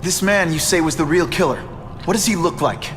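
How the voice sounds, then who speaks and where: A man's voice: on the high side, brisk, background noise, close to an everyday voice. A young actor playing a principled young male superhero in a video game, earnest and direct. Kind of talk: video game cutscene acting. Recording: game audio with music. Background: music.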